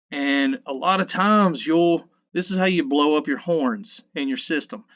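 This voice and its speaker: A man in his fifties with a low mid voice, casually talking through a mixing tutorial in a rambling, self-correcting, folksy way. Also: fairly bright tone, muffled recording. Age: 50s. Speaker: sound engineer teaching mixing. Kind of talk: casual screen-recorded tutorial. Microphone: dynamic vocal mic.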